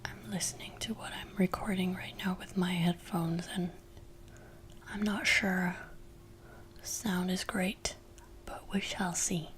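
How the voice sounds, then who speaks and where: A woman's voice: on the low side, fairly even intonation, a little slow, a bit bright. A woman in her twenties from France, whispering a rambling, self-deprecating chat for ASMR, into a desktop microphone in a lamp-lit room at home. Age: 20s. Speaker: ASMR creator. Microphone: desktop USB microphone.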